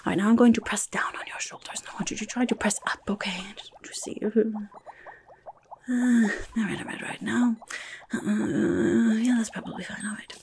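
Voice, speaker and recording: A woman's voice: a little high, fairly even intonation, normal speed, vocal fry, darkish tone. A young woman voicing a rude, chaotic female goblin nurse in an ASMR roleplay, muttering fussily and repeating her words, close to the microphone. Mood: fussy, instructing. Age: young adult. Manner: fussy, muttering, repeats words, blunt asides. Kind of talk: whispered and soft-spoken ASMR roleplay.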